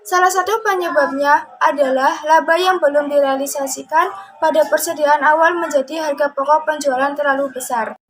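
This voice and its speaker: A woman's voice: high-pitched, moderate pace. A young woman, a student presenter, reading out a scripted lesson. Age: young adult. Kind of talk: scripted lesson narration read aloud.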